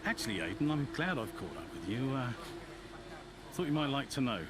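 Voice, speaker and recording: A man's voice: mid-range voice, sing-song, a little fast, noisy background, natural voice. A man playing a jovial, genteel racing team boss in a video game, chummy and breezy. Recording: clear garage ambience with vehicles.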